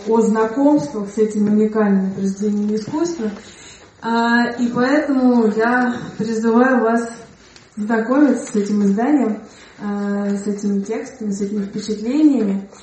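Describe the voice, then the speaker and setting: A woman art historian giving a measured, formal introduction to a speaker. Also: on the high side, warm tone. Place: museum hall. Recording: echoey hall, from far off.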